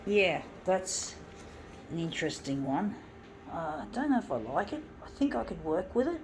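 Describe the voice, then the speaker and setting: A middle-aged woman talks in a casual, meandering way, full of ums and restarts. Her voice sits on the low side. A faint hiss of noise runs under the recording. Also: big pitch swings, moderate pace. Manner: rambling, many fillers and restarts. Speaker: zine and mixtape maker. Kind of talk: casual reminiscing while working.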